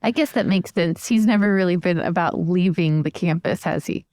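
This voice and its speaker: A woman's voice: lowish voice, normal speed, darkish tone, no room sound, clean studio sound, natural voice. A woman playing a curious, chatty young well-bred woman, talking informally and asking questions in improvised roleplay over music. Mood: friendly interest. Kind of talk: improvised in-character roleplay dialogue.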